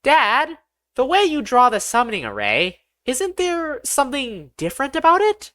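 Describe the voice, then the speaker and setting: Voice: high-pitched, huge pitch swings, normal speed, a bit bright, no room sound, studio quality, voice pushed up, heavily acted. A performer voicing an innocent nine-year-old boy asking questions in an audiobook. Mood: innocent, curious. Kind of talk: audiobook character voice.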